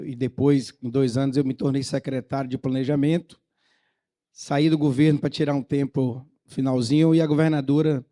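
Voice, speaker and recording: Middle-aged Brazilian man, relaxed warm timbre, medium pitch, informal public-sector panelist who checks in with short tags. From Bahia, Brazil. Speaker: government planning secretary, panelist.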